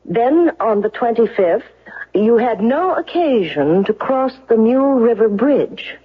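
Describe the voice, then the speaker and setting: A woman's voice: on the high side, expressive, measured pace, breathy edge. A woman playing a commanding, relentless defense lawyer in a 1970s radio courtroom drama, speaking in an emphatic, accusatory way. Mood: stern questioning. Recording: dull, lo-fi old radio recording.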